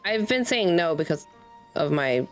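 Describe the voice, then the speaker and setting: A woman's voice: fairly low pitch, expressive, unhurried. A woman doing casual live-stream game commentary, chatty and candid, swearing freely. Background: game music.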